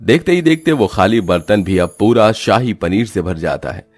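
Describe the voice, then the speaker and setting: A man reads a scripted story as its narrator. His voice is fairly low and recorded dry, with faint music underneath. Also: big pitch swings, normal speed, a little mellow, no room sound.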